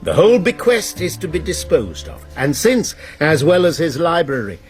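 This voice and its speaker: A genial head of a college in a radio play. His voice is fairly high and animated, with an urbane, polite authority. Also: moderate pace, soft background music. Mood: urbane, reassuring. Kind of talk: British radio drama acting.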